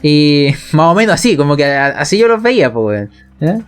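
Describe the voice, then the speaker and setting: A Chilean man with a slightly high voice talks casually about his views. He is informal and sweary, often checking in with the listener, with music behind him. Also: sing-song, normal speed, clear, resonant tone. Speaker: podcast speaker, game narrator. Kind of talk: casual opinionated chat about role-playing games.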